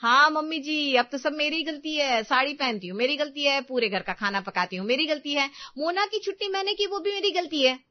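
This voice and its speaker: A woman's voice: on the low side, lively intonation, normal speed, no echo, muffled recording, lightly acted. A woman voicing a young daughter-in-law, martyred and self-important, grumbling with sarcasm in a family story. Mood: sarcastic anger. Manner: sarcastic, put-upon grumbling. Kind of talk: Hindi family story acting.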